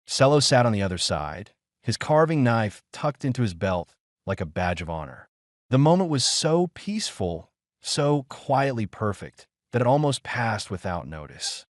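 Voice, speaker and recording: A man narrating a scripted science fiction story in measured, short phrases with dramatic pauses. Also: medium pitch, very animated, no echo, studio quality. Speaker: audiobook narrator.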